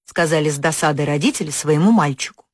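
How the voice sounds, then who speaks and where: A woman narrating a folk fairy tale for an audio story, expressive and lifting her pitch on key words. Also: quick pace, no echo, clean studio sound, faint music behind.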